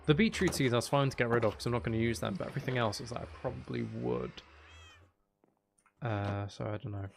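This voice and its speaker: A man's voice: medium pitch, a little fast, vocal fry, slight background noise. A man giving casual, unscripted gameplay commentary as a video host, thinking aloud and trailing off mid-sentence.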